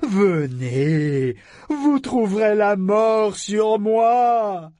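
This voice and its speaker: A man puts on a living ship's figurehead gone mad, a female spirit of wood and sea with a raised, strained voice. She stretches every word out slowly and loudly, luring attackers to their death. The sound is dry and close, as the reader performs her in an audiobook. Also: high voice, expressive, dry recording, voice pushed up, exaggerated voice. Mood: wild taunting. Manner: slow, drawn-out, taunting cries.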